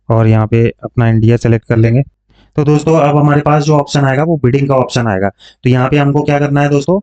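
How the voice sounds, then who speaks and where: Low-fi man giving a tutorial. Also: darkish tone. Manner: explanatory, mixes English terms into Hindi, frequent check-in tags. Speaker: online tutorial host, digital marketing coach. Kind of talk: informal step-by-step screen tutorial. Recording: dull lo-fi microphone sound.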